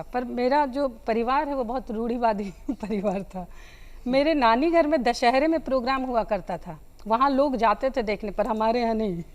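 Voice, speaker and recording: A woman from Bihar, a folk singer being interviewed, answering in a halting, reflective way. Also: fairly high pitch, darkish tone.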